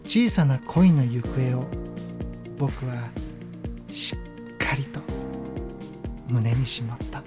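Man acting a slow, reflective grieving son. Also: mid-range voice, over music, lightly acted. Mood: wistful, resolved. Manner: measured, sentimental, pausing. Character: grieving grown son, gentle, earnest, reflective. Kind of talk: radio drama narration.

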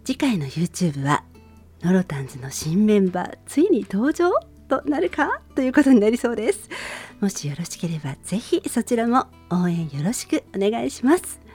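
A woman hosting a radio show, making scripted announcements in a polite, clearly phrased way. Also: mid-range voice, very animated, moderate pace, darkish tone, soft background music.

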